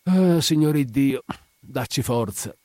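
A man's voice: mid-range voice, lively intonation, moderate pace, warm tone, no echo, clean studio sound, close to an everyday voice. Man voicing a sighing, pious widow. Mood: wry, weary. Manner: sighing, exclamatory, homely. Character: pious, sighing widow, a gossipy evasive neighbour woman. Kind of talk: dramatic audiobook character reading.

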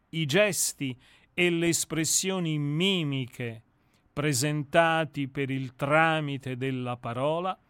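A man reading and commenting on literature as a co-host, with measured, theatrical and deliberate phrasing. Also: a little high, fairly bright tone, clear, resonant tone, no echo. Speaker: co-host of a literary radio discussion.

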